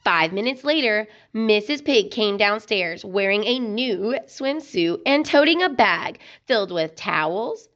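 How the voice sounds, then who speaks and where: A woman reading a children's book aloud in an expressive, deliberate storytelling style. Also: a little high, clean tone, dull audio.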